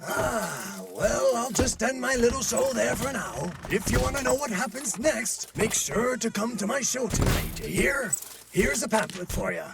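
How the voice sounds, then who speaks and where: A man's voice: extremely high pitch, animated, gravelly, heavily acted. A man voicing a villainous robot puppeteer in a cartoon, a folksy showman who draws out his words dramatically. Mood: folksy, sly. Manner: dramatic, drawn-out showman delivery. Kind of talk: cartoon voice acting, storytelling. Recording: loud music behind.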